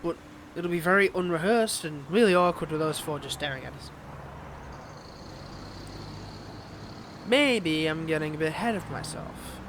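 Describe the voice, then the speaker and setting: Over noticeable outdoor-like background noise comes a man acting a high-pitched male villain in a romance audio drama. He is smug and possessive toward a former lover, mixing theatrical flirting with veiled, taunting threats. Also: animated, a little fast, lightly acted. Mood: coaxing, wistful.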